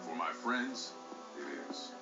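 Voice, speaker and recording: A man's voice: very deep voice, lively intonation, slow, heavily acted. A man playing a cool, confident veteran racer in a video game, laid-back and swaggering. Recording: dull, echoey audio under music.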